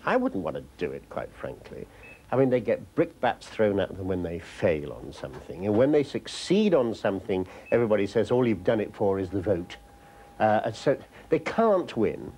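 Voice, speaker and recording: A man talking reflectively and emphatically in an interview as a political correspondent, letting the ends of phrases trail away quietly. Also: on the low side, big pitch swings, normal speed. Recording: clear background noise.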